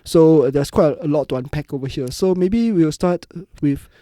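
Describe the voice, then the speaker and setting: A man's voice: fairly high pitch, moderate pace, dark tone, no room sound, clean studio sound. A man with a Singaporean accent hosting a podcast in a reflective, conversational interview style. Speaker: podcast host.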